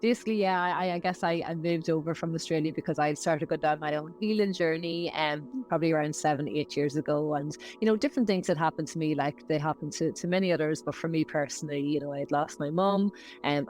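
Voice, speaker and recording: A woman's voice: sits low, a little mellow. An Irish woman, a podcast guest, telling her story in a hesitant, reflective way. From Ireland. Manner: hesitant, reflective, many fillers. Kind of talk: casual interview chat.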